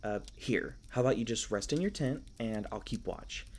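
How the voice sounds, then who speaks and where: A man's voice: mid-range voice, normal speed, lightly acted. A man playing a kindly, flustered, youthful elf park ranger in a fantasy audio roleplay, stammering and rambling apologetically. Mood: gentle, caring. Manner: rambling, stammering, apologetic, self-deprecating humor. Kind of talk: fantasy audio roleplay, casual conversational acting.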